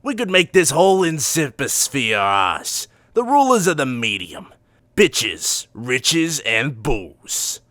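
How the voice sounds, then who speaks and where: A man's voice: mid-range voice, big pitch swings, takes it slowly, fairly bright tone, no room sound, studio quality, voice pushed up. A man voicing a foul-mouthed, power-hungry gangster boss with a blunt, menacing swagger. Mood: gloating.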